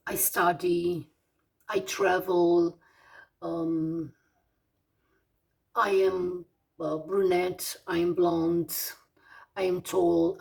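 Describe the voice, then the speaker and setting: A Romanian woman in her forties with a Romanian accent, teaching English vocabulary slowly, with many fillers and pauses as she lists words one by one. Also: on the low side, vocal fry, a little mellow, recorded from a distance, poor audio. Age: 40s. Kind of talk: slow vocabulary lesson.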